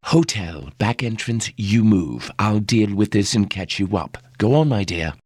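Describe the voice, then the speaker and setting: A man voicing a calm, poised female bodyguard who speaks quietly and with measured courtesy. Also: on the low side, dry recording, studio quality. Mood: brisk.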